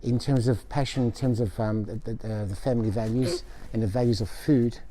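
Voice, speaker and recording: A man, raised between Australia and Italy, gives measured, casual answers with pauses as an interview guest. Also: medium pitch, fairly even intonation, dark tone. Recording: background noise, room ambience.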